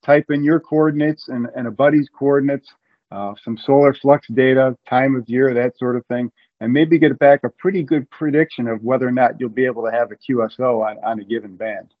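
A man's voice: mid-range voice, fairly even intonation, fairly quick, muffled recording. A man from Ohio, a guest in an interview, explaining at length with frequent restarts. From Ohio, USA.

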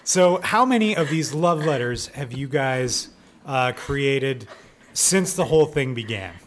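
A man's voice: mid-range voice, lively intonation, measured pace. A man hosting a casual interview, informal, joking and irreverent in how he asks things. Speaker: podcast host interviewing a guest.

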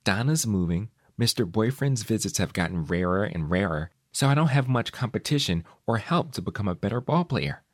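A man narrating a short story in an expressive, conversational first person, with wry emphasis. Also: lowish voice, dry recording, clean studio sound.

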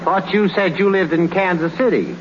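A skeptical ballplayer in a 1930s radio comedy, needling in a wry, everyday slang. The voice is fairly high and lively. The recording is old and muffled, with plenty of noise. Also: male voice, moderate pace. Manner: wry, doubting, colloquial. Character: skeptical, needling male ballplayer quizzing a teammate.

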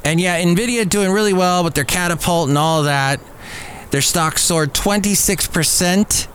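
Male podcast host over background noise. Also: a little high. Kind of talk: reading news items with casual asides.

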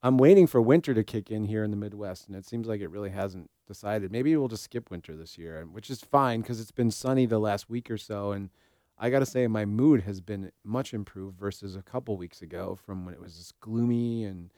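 A man's voice: on the low side, fairly quick, dark tone, no echo, clean studio sound. An American man hosting an informal podcast discussion in an easygoing, conversational way. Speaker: podcast host and practicing therapist.

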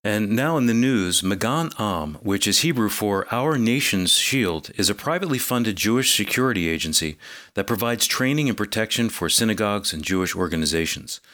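A man's voice: fairly low pitch, lively intonation, moderate pace, bright, forceful tone. An American man announcing a radio program, reading scripted introductions and news items.

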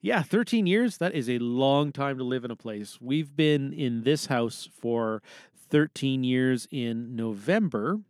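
A man's voice: mid-range voice, animated, unhurried, a little mellow, clean tone, no room sound. Chatty Canadian man, dry close sound. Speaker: podcast host. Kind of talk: casual rambling solo chat. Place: small recording booth.

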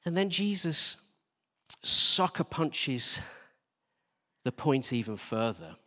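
A man's voice: medium pitch, very animated, takes it slowly, pushed and bright. A man preaching a conversational sermon, emphatic, asking rhetorical questions and repeating phrases for stress. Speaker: church team leader preaching. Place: large reverberant indoor church space. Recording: long-reverb church hall sound.

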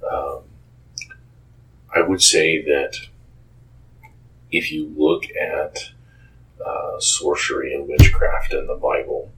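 Male interview guest with an extremely low voice, conversational, thoughtful and halting delivery. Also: wildly swooping pitch, slight background noise, low-quality recording. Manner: halting, thoughtful, frequent pauses. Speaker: interview guest, author and game designer.

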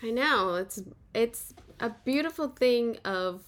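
A woman's voice: on the high side, lively intonation, slow pace. A woman from Philadelphia who hosts a podcast, talking in a chatty, easygoing way with frequent laughs and fillers. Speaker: podcast host. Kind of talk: casual interview chat.

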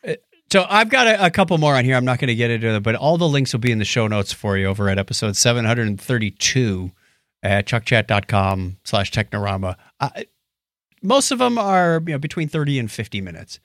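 A man's voice: medium pitch, big pitch swings, a little fast, fairly bright tone, no room sound, studio quality. A man hosting a podcast, casually explaining and telling stories in a conversational way. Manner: explanatory, storytelling. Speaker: podcast host. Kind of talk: casual chat.

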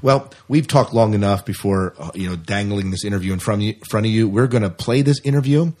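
A man's voice: fairly low pitch, fast talker, a little mellow. A man hosting a podcast in a casual, chatty way, sometimes repeating himself. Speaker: podcast host.

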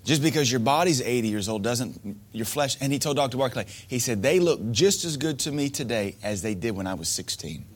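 A man's voice: mid-range voice, expressive, brisk, few pauses, husky. A man preaching a sermon to men, emphatic and repetitive, asking rhetorical questions. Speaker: pastor.

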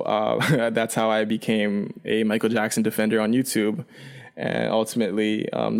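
A young man whose first language is Chinese, raised in Canada, talks through a long personal story. His voice sits low, and he wanders with fillers and restarts in an easygoing, unhurried way. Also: creaky. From China, raised in Canada. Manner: relaxed, rambling, frequent fillers and restarts. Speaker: guest. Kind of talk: casual storytelling.

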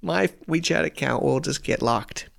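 A man's voice: a little high, normal speed, vocal fry. A man in his late thirties, an internet marketer, ranting in a casual vlog in an exasperated, repetitive way. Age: late 30s.

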